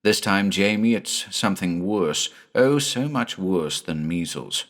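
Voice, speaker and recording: A man voicing a frightened country doctor who speaks gently and carefully to his young son. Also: sits low, lively intonation, measured pace, exaggerated voice. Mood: gentle.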